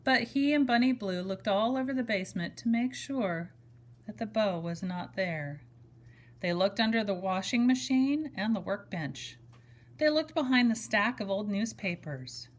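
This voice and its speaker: A woman reading a children's story aloud in a measured, expressive manner, as if to young children. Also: mid-range voice. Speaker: storybook reader. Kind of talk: children's story read-aloud with brief asides to listeners.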